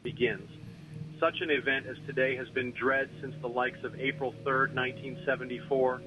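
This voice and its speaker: A man playing a grave, foreboding weather scenario narrator, reading scripted lines in a solemn, formal, measured way. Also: medium pitch, fairly even intonation, natural voice. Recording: dull lo-fi audio over music.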